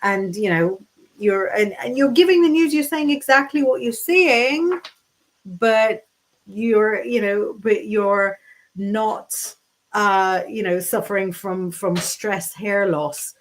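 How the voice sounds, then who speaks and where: Woman from the UK, tarot reader, talkative and animated, reads comments aloud. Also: medium pitch, takes it slowly, poor audio. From United Kingdom. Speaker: psychic tarot reader, guest teacher. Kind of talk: casual livestream teaching chat.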